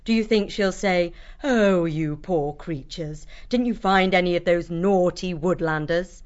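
A woman voicing a bossy, hot-tempered fox captain in an audiobook story, commanding and sharp-tongued. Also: lowish voice, unhurried, highs cut off, natural voice. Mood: scornful.

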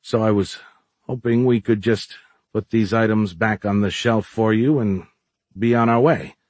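A man's voice: on the low side, animated, normal speed, breathy edge, no room sound. A man voicing a frantic, rattled, profane man on the run in a story, flustered and sputtering. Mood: nervous pleading.

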